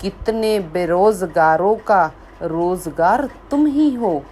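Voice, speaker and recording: A woman reciting a poem she wrote herself, in a measured voice that repeats lines for emphasis, after a short spoken introduction. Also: lowish voice, animated, mellow, a little hiss or hum. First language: Hindi. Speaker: poet. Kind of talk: slow recited poetry.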